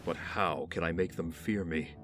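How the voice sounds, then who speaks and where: An actor playing a brooding, wounded young man in a grave, halting, intense audio drama monologue. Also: low voice, lightly acted. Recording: loud dramatic music over the voice.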